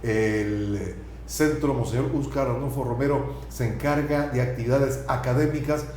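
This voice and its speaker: A man's voice: medium pitch, moderate pace, darkish tone. Man, TV host, chatty tag questions, very echoey studio, faint hiss. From El Salvador. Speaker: TV talk show host. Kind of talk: conversational TV interview.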